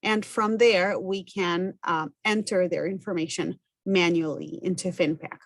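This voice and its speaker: A woman's voice: lowish voice, fairly even intonation, unhurried, dry recording, studio quality. A woman from Argentina whose first language is Spanish, speaking English with an Argentine accent as an educator, giving a methodical, earnest presentation that lists things one by one. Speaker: farm business educator. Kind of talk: recorded self-introduction presentation.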